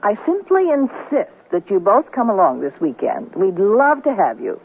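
A woman's voice: mid-range voice, huge pitch swings, normal speed, breathy edge, heavily acted. An actress playing a teasing, flirtatious single woman in an American radio comedy, with an arch, theatrical delivery. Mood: breezy, arch. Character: flirtatious, teasing, sharp-tongued single woman who owns a boat. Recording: muffled noisy off-air radio recording.

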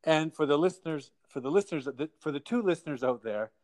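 A man's voice: on the high side, lively intonation, fast, a little mellow. A man hosting a podcast interview, rambling with lots of restarts and laughing at his own jokes. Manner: rambling, self-deprecating, laughs often. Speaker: podcast host. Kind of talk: casual remote interview.

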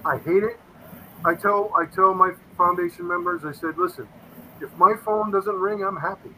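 Bright-voiced man in his fifties. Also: high voice, fairly even intonation, moderate pace, slight background noise, poor audio. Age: 50s. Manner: rambling, earnest, quotes himself often. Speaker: paranormal investigator guest. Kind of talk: casual interview storytelling.

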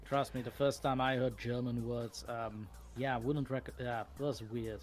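A man's voice: mid-range voice, steady tone, measured pace, faint music behind. A native Dutch-speaking man with a Flemish accent, chatting casually and wryly on a livestream. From Flanders, Belgium.

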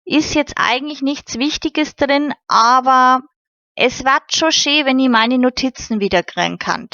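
A woman's voice: high-pitched, measured pace, bright, forceful tone, no echo, dull audio, pitched above the performer's own voice, full character voice. Woman putting on a cheerful girl. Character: cheerful injured young girl, friendly and polite.

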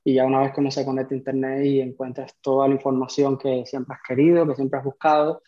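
A man's voice: medium pitch, flat delivery, fast talker. A man in his thirties from Cuba, telling his story as a podcast guest in a conversational way. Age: 30s. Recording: slightly dull, lo-fi audio.

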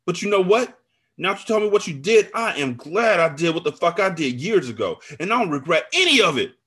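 A man voicing a furious, foul-mouthed woman in an audiobook drama, loud, sassy and confrontational, bent on revenge. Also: medium pitch, sing-song, moderate pace, pitched above the performer's own voice. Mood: vengeful, gloating. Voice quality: loud, raised voice. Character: Alice, furious, foul-mouthed, vengeful woman betrayed by her friend.

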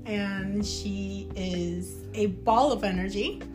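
A young woman from Cuba, a guest on a casual podcast, relaxed and giggly, with frequent hesitations. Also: mid-range voice, slow pace. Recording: guitar music in background.

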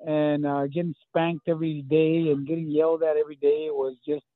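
A man's voice: a little high, little pitch movement, brisk, no room sound. A man in his fifties from South Texas, Spanish his first language, talking in a casual interview with many fillers and restarts. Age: 50s. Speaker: guest, running coach. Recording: tinny phone-like line.

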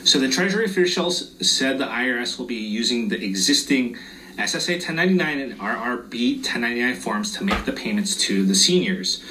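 A man's voice: medium pitch, animated, a little fast, pushed and bright, far from the mic, a little hiss or hum, rough recording. A man who is losing his voice, explaining loan and tax forms step by step in a casual explainer video.

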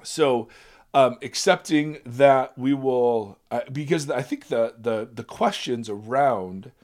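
Raspy-voiced male podcast host. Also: mid-range voice, takes it slowly, a little mellow. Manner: rambling, self-deprecating, laughs often. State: raspy voice from a virus. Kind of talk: casual podcast chat.

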